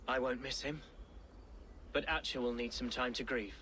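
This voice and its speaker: A man's voice: medium pitch, steady tone, normal speed, sharp tone, a little hiss or hum, lightly acted. A man playing an earnest young medieval English nobleman in a video game drama. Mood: weary, sober.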